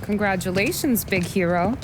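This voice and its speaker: A playful, sassy dame from a mob game, played by a woman. She banters wryly at an average pitch amid noticeable background noise. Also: expressive, normal speed, close to an everyday voice. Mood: teasing. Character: teasing, wry, sassy woman at a mob celebration. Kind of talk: dramatic video game acting.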